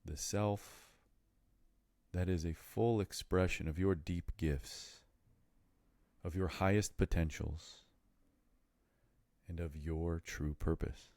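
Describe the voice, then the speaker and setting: A man with an extremely low, mellow voice guides a meditation. He speaks in soothing, measured phrases and leaves deliberate pauses. The sound is dry and close, with no room around it. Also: steady tone, no echo.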